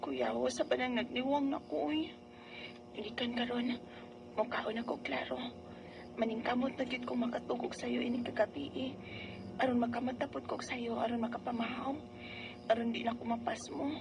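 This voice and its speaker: A woman's voice: a little high, steady tone, moderate pace, creaky, background noise. A woman playing an anxious, plaintive young Filipino woman with a Bisaya accent in a radio drama. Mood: resigned. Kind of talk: Filipino radio drama acting with first-person narration.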